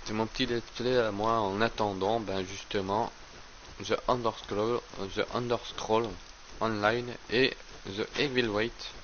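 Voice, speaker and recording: A man streaming a game while talking casually, rambling and stammering through repeated words, with a slight hoarseness from a cold. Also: fairly low pitch, a bit bright, slight background noise. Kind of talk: casual let's play commentary. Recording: slightly dull lo-fi stream audio.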